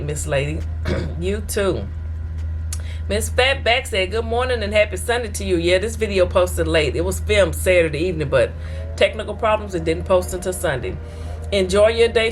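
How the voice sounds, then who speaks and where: A woman from Texas, hosting an eating show and reading viewer comments aloud in a chatty, folksy way. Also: mid-range voice. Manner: chatty, folksy, talks straight to her viewers.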